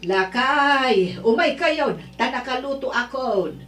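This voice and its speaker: Woman playing an unhurried husky Filipina village mother. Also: high-pitched, expressive, fairly bright tone, full character voice. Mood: welcoming. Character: middle-aged village mother, hospitable but watchful of son's girlfriend. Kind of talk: Ilocano audio drama acting.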